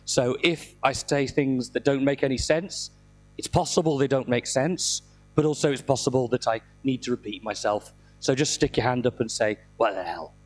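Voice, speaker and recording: A middle-aged man with a slightly croaky voice from a throat infection, giving an informal, self-deprecating technical talk with dry humour into a handheld microphone. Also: mid-range voice, moderate pace, darkish tone, faint music behind. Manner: self-deprecating, dryly humorous. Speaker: conference speaker. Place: indoor conference room, speaking on stage.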